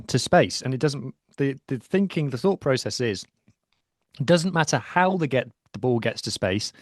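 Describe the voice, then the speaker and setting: A man chatting in a self-deprecating way and breaking down rugby tactics at length. His voice sits at a middle pitch, recorded dry in a home studio with no room echo. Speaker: podcast co-host. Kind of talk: casual chat and rugby analysis.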